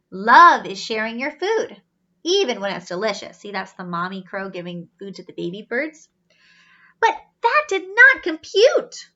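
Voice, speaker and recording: A woman with a high, very bright voice reads a picture book to children. She is teacherly, breaking off to explain and ask questions. The recording is slightly dull. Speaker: children's storybook reader. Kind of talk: animated read-aloud storytelling with asides.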